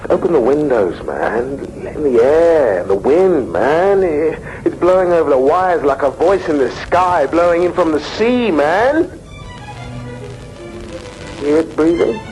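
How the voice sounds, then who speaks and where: Urgent, high-voiced man, declaiming over music. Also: lively intonation, a little slow, darkish tone, low-quality recording. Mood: urgent, visionary. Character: agitated man craving air and wind. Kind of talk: radio drama acting.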